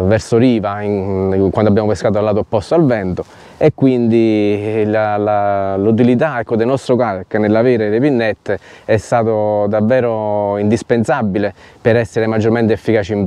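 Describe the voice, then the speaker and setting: Low, mellow man explaining, halting. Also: smooth, no room sound. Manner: halting, searching for words. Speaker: fishing vlogger. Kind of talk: explaining to camera. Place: outdoors on a grassy lakeshore.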